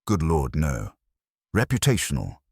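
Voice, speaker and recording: A man acts out a self-important town clerk from a mystery story. The voice is very deep and varied in pitch, with clipped, officious phrasing and an anxious edge. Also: wildly swooping pitch, a little slow, a little mellow, breathy edge, no room sound, clean studio sound, heavily acted. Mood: flustered.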